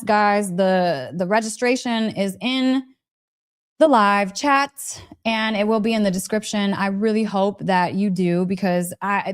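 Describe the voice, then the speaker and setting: A woman hosting a casual motivational storytelling live stream, speaking in a gushing, emphatic, chatty way, repeating phrases and addressing listeners directly. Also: medium pitch. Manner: chatty, direct address with frequent check-in questions. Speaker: host of a live story session, spiritual teacher.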